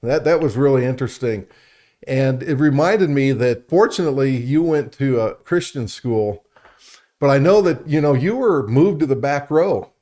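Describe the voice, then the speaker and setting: A man's voice: medium pitch, moderate pace, mellow. A man co-hosting a conversational science and faith talk show, speaking in a chatty, enthusiastic way. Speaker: radio show co-host.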